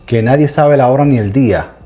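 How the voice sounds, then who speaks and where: A man's voice: mid-range voice, fairly quick, dark tone, soft background music. A middle-aged man co-hosting a casual Bible discussion, talking emphatically and addressing listeners directly. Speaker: co-host of a Bible study channel.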